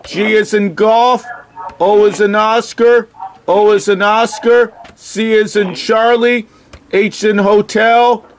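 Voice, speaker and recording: A man on a phone call speaking in a halting, deliberate, literal way as he spells and reads aloud. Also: sits high, fairly even intonation, a little hiss or hum. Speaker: phone call recipient stringing a caller along. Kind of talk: slow phone conversation, spelling and reading aloud.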